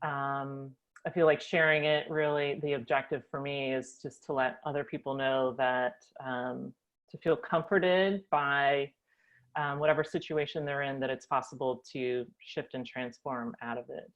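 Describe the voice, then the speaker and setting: An American woman telling her family story as a guest, thoughtful with frequent pauses and ums. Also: rumbling low, fairly even intonation, dull audio. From United States. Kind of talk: reflective personal storytelling.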